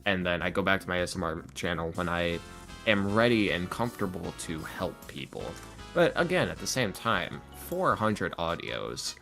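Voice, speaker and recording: A man chatting casually as a podcast guest, animated and halting, often repeating himself as he goes. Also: sits low, creaky. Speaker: podcast guest, ASMR roleplay creator and voice actor. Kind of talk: casual interview chat. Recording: music playing behind the voice. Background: background music.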